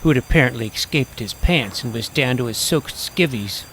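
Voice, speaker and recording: A man reading a first-person story aloud in a wry, deadpan manner. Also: medium pitch, moderate pace, a little hiss or hum. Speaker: audiobook narrator. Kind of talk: first-person scripted narration.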